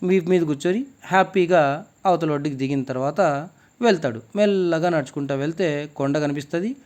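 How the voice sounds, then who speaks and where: A man's voice: a little high, a little fast, a little mellow. A man telling a children's moral story informally, explaining as he goes and mixing in English words. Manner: conversational, explanatory, mixes in many English words.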